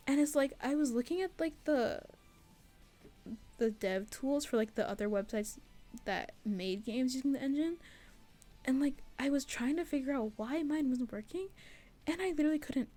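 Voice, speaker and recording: A woman's voice: sits high, animated, normal speed, mellow, faint music behind. A young adult woman giving a casual, rambling devlog voice-over in an informal, self-deprecating, giggly way. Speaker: student game developer and artist.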